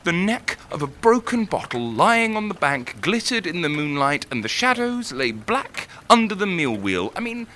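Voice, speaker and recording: A man's voice: sits high, very animated, normal speed, hardly any pauses, bright, forceful tone, a little hiss or hum, heavily acted. A man acting an anguished, self-doubting young writer in an audio drama, lovesick, volatile and intensely emotional. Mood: envious frustration. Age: young adult.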